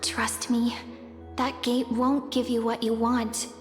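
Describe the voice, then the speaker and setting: A woman voicing a gentle, mysterious young woman in a video game, earnest and persuasive. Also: a little high, little pitch movement, slow pace. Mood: earnest warning. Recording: echoey long reverb, music underneath.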